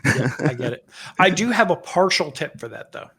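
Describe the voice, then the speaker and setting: A man's voice: on the high side, lively intonation, normal speed, creaky, fairly bright tone, husky. A man co-hosting a podcast, chatting casually and explaining things with asides. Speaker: co-host.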